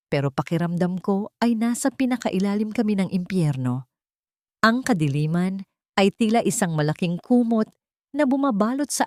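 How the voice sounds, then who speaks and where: A woman's voice: lowish voice, mellow, no room sound, studio quality. A woman reading a scripted, first-person dramatic story as its narrator.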